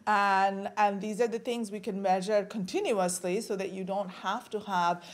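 A woman's voice: medium pitch, fairly even intonation, normal speed. A woman in her forties from Bangladesh giving an academic talk at a podium microphone, with frequent fillers and restarts. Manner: casual, many fillers and restarts. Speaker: guest lecturer. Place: lecture hall at a podium.

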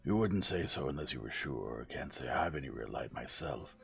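Male reader doing a low, gravelly, curt military man. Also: animated, normal speed, a bit bright, faint music behind, heavily acted. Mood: gruff. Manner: gruff, clipped, blunt. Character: brusque British army colonel, middle-aged man.

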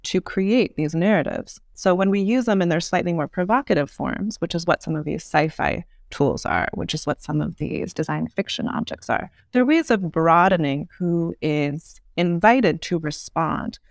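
A woman's voice: on the low side, moderate pace, hardly any pauses, dry recording, studio quality. A woman explaining her ideas as an interview guest, articulate and conversational. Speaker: guest, urban design expert. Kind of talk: explanatory podcast interview answers.

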